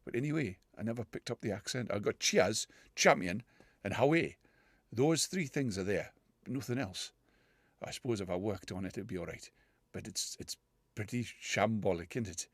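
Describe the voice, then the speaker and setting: A man's voice: lowish voice, lively intonation, normal speed, dry recording. A man from the United Kingdom trying out accents for fun in a casual comedy video, laughing at himself and chatting between attempts. He talks loosely, in stops and starts. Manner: self-mocking, laughs often, switches in and out of accents. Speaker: voice-challenge vlogger.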